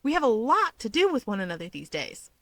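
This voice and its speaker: A woman's voice: fairly high pitch, sing-song, a little fast, fairly bright tone, dry recording, full character voice. A woman voicing a cocky, insistent young male hero from a fan story, with a taunting, emphatic delivery. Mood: exasperated, taunting.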